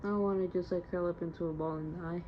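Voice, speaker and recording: Muffled, lower-pitched young woman, downcast and candid. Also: fairly even intonation, quick pace. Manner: rambling, slangy, candid. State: emotionally hurt, downcast. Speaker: audio diarist. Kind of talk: casual confessional audio diary. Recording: muffled lo-fi home recording, faint noise.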